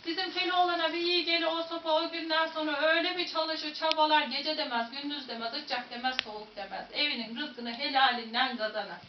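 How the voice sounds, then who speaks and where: Central anatolian woman telling a folk tale, high voice, echoey far-off room, dull sound. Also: fairly quick, hardly any pauses. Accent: Central Anatolian Turkish. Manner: lively, dramatic, addresses listeners directly. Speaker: storyteller. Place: museum room. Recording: echoey room, distant, dull, faint noise.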